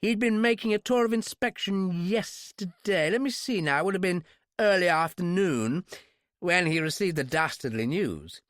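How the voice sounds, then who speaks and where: A man's voice: sits high, lively intonation, normal speed, fairly bright tone, no room sound, studio quality, voice pushed up. A man voicing a fussy, over-polite English private secretary with an exaggerated upper-class accent, in an audiobook. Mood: airy, hesitant. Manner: pompous, airy, over-polite. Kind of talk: audiobook character voice.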